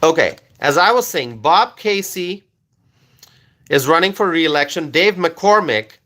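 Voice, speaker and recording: A man from Bangladesh with Bengali as his first language hosts a political live stream, his voice sitting a little high and ringing very bright. He drives his points home by repeating them, and he keeps checking in with his viewers. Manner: repetitive, emphatic, checks in with "okay?", answers chat questions. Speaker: livestream political commentator.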